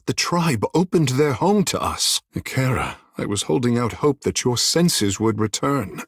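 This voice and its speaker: An actor playing a vain, charming godlike islander in a video game, with theatrical, lofty, courtly phrasing. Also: male voice, lowish voice, a bit bright, husky, no room sound, studio quality, full character voice. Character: flamboyant, vain, charming godlike islander man, water shaper. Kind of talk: video game character dialogue.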